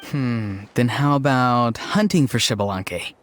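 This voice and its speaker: An actor playing an earnest, odd young tribesman in a video game, deadpan and matter-of-fact. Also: mid-range voice, measured pace, slight background noise, close to an everyday voice.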